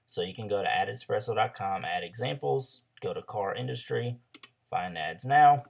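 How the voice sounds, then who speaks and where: A hoarse young man with a loose, chatty manner, full of ums and likes, walking through a screen-share tutorial as an online ads coach. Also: fairly low pitch, normal speed. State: hoarse after a throat illness.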